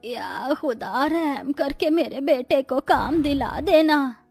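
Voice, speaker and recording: A woman's voice: sits high, slow, vocal fry, a little mellow, faint music behind, voice pushed up, heavily acted. A woman voicing a poor, devout old grandmother with a plaintive, lamenting manner. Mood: prayerful.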